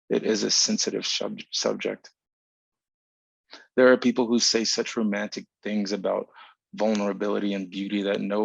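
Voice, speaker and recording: A man giving a literary reading of a poetic essay, measured and deliberate in formal phrasing, over a video call. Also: lowish voice, vocal fry, slightly rough, no echo. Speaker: writer reading own work. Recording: dull lo-fi online call audio.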